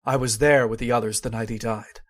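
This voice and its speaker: A man playing a grave, haunted nephew who tells his horror story in measured old-time radio style. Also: mid-range voice, fairly even intonation, natural voice. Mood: somber. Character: somber male narrator of a horror tale, haunted nephew.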